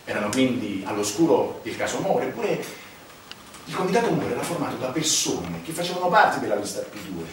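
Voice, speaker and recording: Far from the mic in a very echoey space, a male actor plays a wry stage narrator. His delivery is animated and ironic, built on dramatic pauses. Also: mid-range voice, lightly acted. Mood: emphatic. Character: wry male narrator recounting a political scandal. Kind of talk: theatrical monologue on a political scandal. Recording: echoey stage, distant mic, clear noise.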